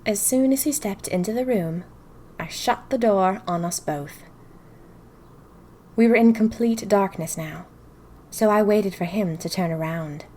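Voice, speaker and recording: Casting: female actor as dramatic, curious young woman of the twenties telling her diary, expressive audio-drama storytelling. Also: medium pitch, measured pace, a little hiss or hum, natural voice. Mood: scheming, determined. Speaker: audio fiction narrator. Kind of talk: first-person diary narration in a fiction podcast.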